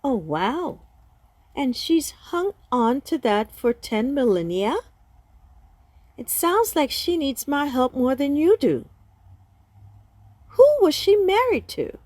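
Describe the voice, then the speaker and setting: A woman's voice: high-pitched, sing-song, darkish tone, no echo, full character voice. A woman playing a firm, no-nonsense doctor counselling Santa in a comic radio play. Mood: probing, dry. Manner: assertive, deliberate, probing.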